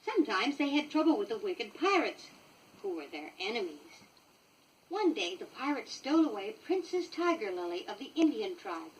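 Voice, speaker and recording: Female American storybook narrator for children, highish and very animated delivery, noisy vintage 1960s record. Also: normal speed, recorded from a distance. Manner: expressive, clearly paced storytelling for young children. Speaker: storybook reader.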